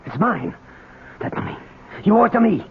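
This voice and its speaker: A man's voice: high-pitched, sing-song, normal speed, gravelly, full character voice. An American actor playing a desperate, obsessive man in a radio drama, urgent, insistent and bullying. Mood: angry, demanding. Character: desperate, obsessive man demanding hidden money from his father. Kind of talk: 1970s radio drama acting. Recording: muffled noisy old radio broadcast recording.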